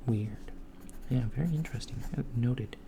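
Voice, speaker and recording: A man voicing a calm alien doctor in a softspoken ASMR roleplay, talking in a hushed, partly whispered way with an unhurried, hesitant manner. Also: medium pitch, gravelly, background noise, natural voice. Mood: calm clinical. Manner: unhurried, hesitant, with many fillers and murmured acknowledgments. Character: calm alien doctor examining a human patient.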